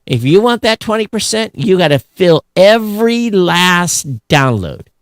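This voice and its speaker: A man's voice: high-pitched, very animated, measured pace, no echo, studio quality. A man hosting a podcast in casual, emphatic discussion, often voicing both sides of an imagined exchange. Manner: emphatic, acts out imagined dialogue. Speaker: podcast host.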